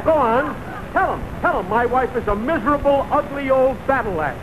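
A touchy ranch foreman with a very high, swooping voice. He badgers sarcastically like someone always wronged, in forties radio comedy style, on a noisy old recording. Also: expressive, brisk, dull audio. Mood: aggrieved. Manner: badgering, aggrieved, twisting words. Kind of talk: 1940s radio comedy acting.

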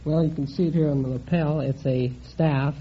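A man's voice: fairly high pitch, steady tone, moderate pace. Earnest young American man, muffled old radio. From Oregon, United States. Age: 20s. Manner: earnest, straightforward. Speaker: quiz show contestant. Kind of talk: answering interview questions. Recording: muffled old radio broadcast, faint noise.

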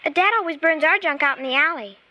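A woman's voice: high-pitched, fast, pushed and bright, lo-fi sound, slight background noise. A woman playing a plucky, inquisitive little girl in an old-time radio suspense drama, with artless, hesitant childlike phrasing. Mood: feigned innocence.

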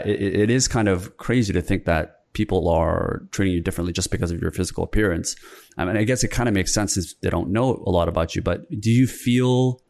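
Deep-voiced young male podcast host. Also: vocal fry, a little mellow. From Korea. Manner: casual, loose, rambling questions. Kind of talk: casual interview chat.

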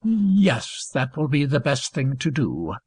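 A woman voicing an elderly male minister in a storybook, speaking slowly and solemnly in a husky, gravelly voice. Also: very deep voice, very animated, a little mellow, dry recording, pitched below the performer's own voice, heavily acted. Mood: startled, grave. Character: elderly male minister, gentle, helpless grandfather.

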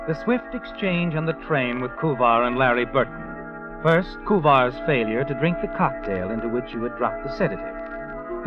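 An actor playing a sly, knowing narrator who taunts the villainess in 1940s radio suspense style. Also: male voice, mid-range voice, natural voice. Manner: insinuating, taunting. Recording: muffled old radio recording with music.

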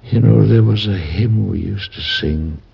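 An actor playing a dying, devout president in an old-time radio drama, speaking tenderly, solemnly and haltingly. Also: male voice, on the low side, vocal fry, breathy edge, heavily acted. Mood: resigned, solemn. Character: dying, devoted, devout American president bidding his wife farewell. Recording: dull vintage radio recording with music.

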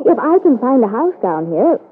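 A woman's voice: sits high, big pitch swings, moderate pace, breathy edge, full character voice. An actress playing a breezy, self-assured, sociable married woman on vacation in an old radio soap. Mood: casual, persuasive. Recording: muffled narrow-band vintage radio recording.

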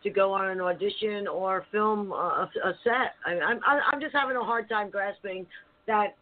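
A man's voice: high-pitched, normal speed, dry recording. A man hosting an interview, giving short prompts and stumbling over his questions with small laughs. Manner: short prompts, hesitant restarts, laughing asides. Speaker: interviewer host. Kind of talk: casual interview. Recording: tinny phone line, dry.

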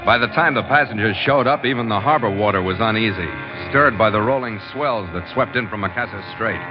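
An actor playing a terse, seasoned American ship's master, narrating and acting in a hardboiled 1940s radio adventure style. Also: male voice, lowish voice, brisk, lightly acted. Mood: brooding, matter-of-fact. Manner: terse, wry, matter-of-fact. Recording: muffled vintage radio, music underneath.

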